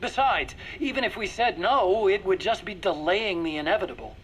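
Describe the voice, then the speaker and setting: An eager engineer in a video game. The voice is light and high for a male, and he talks in an earnest, persuasive, slightly nervous way. There is faint noise behind it. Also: animated, normal speed, fairly bright tone.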